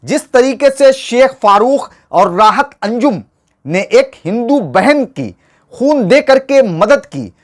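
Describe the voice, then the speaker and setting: A man hosting a political commentary show, speaking to viewers in an earnest, rhetorical and formal oratorical way. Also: sits high. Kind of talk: scripted news commentary addressed to viewers.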